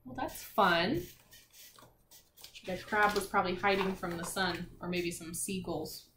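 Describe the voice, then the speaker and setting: A woman's voice: lowish voice, measured pace, fairly bright tone. A woman teacher reading a children's picture book aloud, expressively, with encouraging asides and questions to young listeners. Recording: echoey room with faint noise.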